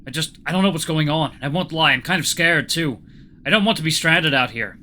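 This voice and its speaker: A man's voice: a little high, expressive, brisk, sharp tone, voice pushed up. A man voicing an anxious but persistent animal hero in a story, speaking in an earnest, probing, informal way. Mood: scared. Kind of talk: story character reading.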